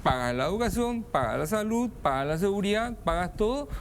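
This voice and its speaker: A man argues his point as a guest, piling up rhetorical questions. His voice is gravelly and swings widely in pitch. Faint noise hums in the background. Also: sing-song, brisk. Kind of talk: political discussion.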